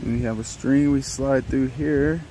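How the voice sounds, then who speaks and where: A man's voice: mid-range voice, steady tone, moderate pace, warm tone, low-quality recording. A young adult man giving a casual product demonstration to camera as a reviewer, speaking outdoors. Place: outdoors in a wooded yard. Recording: outdoor camera audio with background noise.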